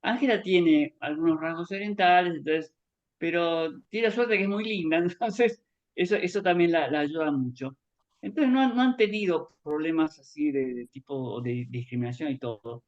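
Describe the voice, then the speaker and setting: Higher-voiced Argentine man, rambling and conversational. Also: normal speed, dull audio. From born in Japan, grew up in Argentina. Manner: rambling, conversational, acts out remembered dialogue. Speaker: guest author giving a testimony. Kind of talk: personal reminiscence in an online talk.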